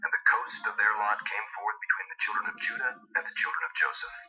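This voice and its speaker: A man narrating scripture from a script in a solemn, measured, formal manner. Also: fairly low pitch, little pitch movement, sharp tone. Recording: dull, lo-fi radio-like sound. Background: music.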